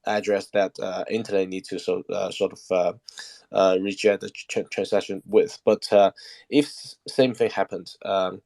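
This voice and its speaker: A man hosting an interview, asking long questions haltingly with many "uh"s and restarts. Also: deep voice, fairly even intonation, gravelly, a little mellow, no room sound. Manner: halting, heavy fillers and restarts. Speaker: interviewer, community host. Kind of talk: asking questions in an online AMA.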